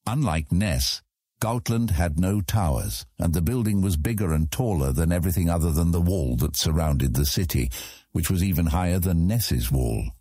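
Deep, husky male fantasy narrator. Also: expressive, normal speed, warm tone, dry recording, clean studio sound. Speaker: audiobook narrator.

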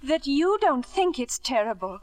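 An actress playing a proud, disillusioned middle-aged wife and mother, crisp and reproachful, in a 1950s radio drama. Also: high voice, very animated, a little slow. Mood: pained, earnest. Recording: vintage 1950s radio broadcast.

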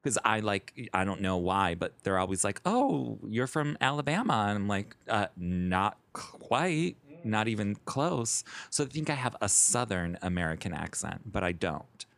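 A man's voice: on the low side, very animated, unhurried, creaky, hardly any pauses, fairly bright tone. A man from Chicago with a Chicago accent, co-hosting a podcast in playful casual chat. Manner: playful, laughs easily. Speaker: podcast co-host.

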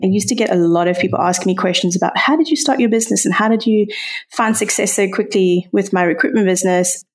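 A woman's voice: mid-range voice, fast talker. A woman with a South African accent speaking earnestly as a guest on a podcast interview, often murmuring agreement. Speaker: podcast guest, community founder. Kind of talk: casual interview conversation.

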